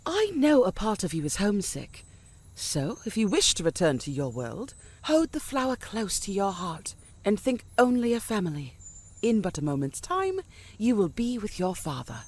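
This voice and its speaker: A woman playing a gracious, lilting, husky fairy guardian. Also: mid-range voice, huge pitch swings, normal speed, a little mellow. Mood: tender, reassuring. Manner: kindly, reassuring, courteous. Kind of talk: audio drama acting.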